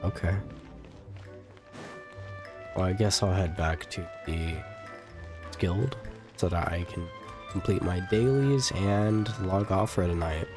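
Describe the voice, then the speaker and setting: A man's voice: low-pitched, slow pace, pauses often, over music. A young man giving casual, chatty commentary as he plays a video game, thinking aloud. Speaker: gaming streamer.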